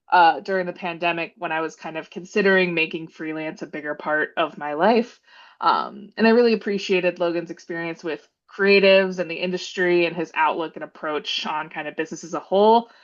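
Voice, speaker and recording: A woman illustrator and graphic designer introducing herself as a webinar guest, relaxed and measured. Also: lowish voice, lo-fi sound.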